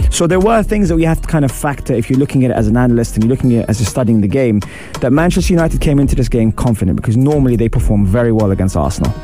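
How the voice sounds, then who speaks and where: A man with a husky voice hosting a radio show, chatting casually through a football match review and greeting listeners. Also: medium pitch. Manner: chatty, shout-outs to listeners. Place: radio studio.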